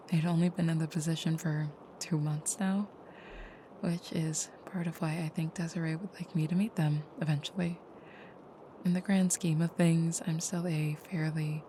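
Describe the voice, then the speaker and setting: A woman playing a gentle, caring vampire girlfriend in an intimate audio roleplay, speaking softly and hesitantly, often near a whisper. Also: sits low, slight background noise, lightly acted.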